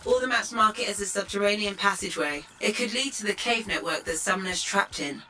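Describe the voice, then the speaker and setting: An actress playing a focused, businesslike mission operator who guides a pilot in a video game. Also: female voice, mid-range voice, brisk, slight background noise. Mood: focused, concerned. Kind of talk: scripted video game dialogue. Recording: loud game music behind voice.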